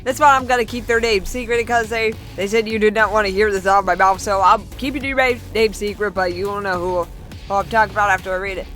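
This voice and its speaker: A young woman vlogger chatting casually as she reads out and answers viewer comments, in a chatty, excitable manner. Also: medium pitch, quick pace, soft background music.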